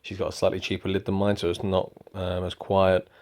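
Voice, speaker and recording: Male vlogger, young, low flat delivery, easygoing, um-heavy. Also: a little fast. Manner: relaxed, rambling, many ums. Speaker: motorcycle vlogger. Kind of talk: casual product review. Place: indoors at home.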